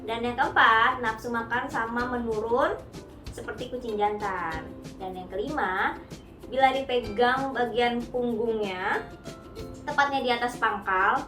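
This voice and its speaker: A woman's voice: on the high side, measured pace. A young woman chatting casually to viewers, sharing tips about caring for her cat, mixing in English words and often calling the audience guys. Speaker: cat owner sharing pet care tips. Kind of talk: casual vlog talk to viewers. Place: home studio. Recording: echoey room, heard from a distance, music behind.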